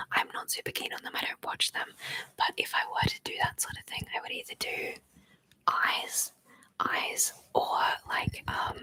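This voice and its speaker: A woman's voice: sharp tone, poor audio. Giggly whispering woman. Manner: giggly, rambling, playful. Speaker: ASMR creator. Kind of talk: whispered ASMR chat, reading viewer comments.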